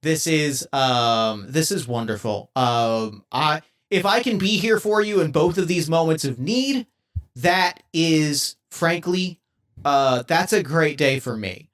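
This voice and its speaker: A man's voice: on the high side, a bit bright. A man voicing an earnest male assassin robot in a tabletop game, speaking formally and carefully with a lot of hesitation. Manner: formal, careful, halting speech.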